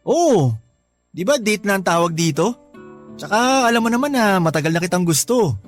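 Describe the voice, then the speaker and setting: A young man voicing a smooth-talking, manipulative suitor in a radio love story. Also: sits high, very animated, moderate pace, soft background music. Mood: charming. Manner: charming at first, then demanding. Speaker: suitor Dennis. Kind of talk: radio drama acting.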